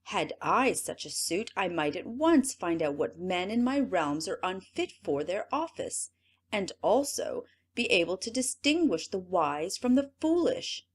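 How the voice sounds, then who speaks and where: A woman's voice: medium pitch, sing-song, a little slow. A woman reading aloud, voicing a vain, self-important emperor in a storybook. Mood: musing. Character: vain proud male emperor.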